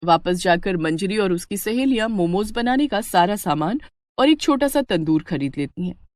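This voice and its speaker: Female narrator, scripted bedtime moral story, expressive delivery, mid-range pitch, dry recording. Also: normal speed, dry recording.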